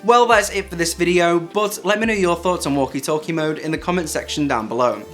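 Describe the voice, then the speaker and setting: A man's voice: fairly high pitch, brisk. A man hosting a tech video, narrating a scripted hands-on review. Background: background music.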